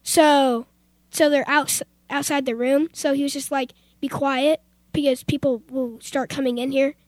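A young girl in her teens with a high voice tells a personal story on youth radio. Her phrasing is loose and casual, and the recording is dry and echo-free. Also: fairly even intonation, unhurried, a little mellow. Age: teenager.

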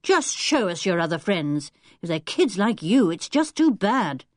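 A woman's voice: mid-range voice, big pitch swings, unhurried, no echo, clean studio sound. Woman voicing a polite, firm young boy. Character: polite, quietly confident boy.

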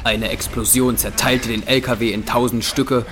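A man's voice: mid-range voice, fairly even intonation, moderate pace, noisy background. A man narrating an audio drama from a script in a dramatic style.

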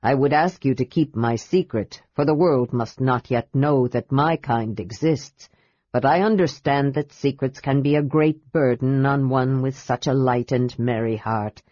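A woman voicing a grave, wise old male gold dragon who speaks slowly and sternly. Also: extremely low pitch, mellow, dry recording, dull audio, voice pushed down. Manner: stern, grave, slow and measured.

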